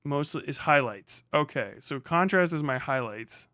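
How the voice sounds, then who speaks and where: A man's voice: a little high, lively intonation, moderate pace, pushed and bright, no echo, clean studio sound. A man hosting a livestream, chatting casually and off the cuff, muttering to himself and swearing freely. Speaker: livestream host. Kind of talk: casual unscripted livestream chat.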